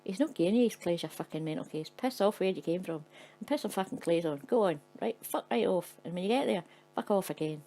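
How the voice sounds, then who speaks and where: A woman's voice: lowish voice, expressive, fast, warm tone. A woman voicing a foul-mouthed, grumpy Scottish woman tourist with a Scottish accent. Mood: irritated. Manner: swearing, cross and blunt.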